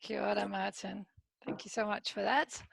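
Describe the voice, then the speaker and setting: A woman facilitating a video call panel, briskly welcoming each speaker. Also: medium pitch, flat delivery, creaky, no room sound.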